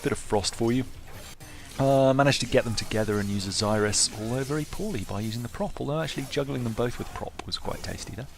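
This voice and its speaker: A man's voice: medium pitch, fast, gravelly, faint music behind. A man giving casual gameplay commentary as a video host, wry, understated and self-deprecating.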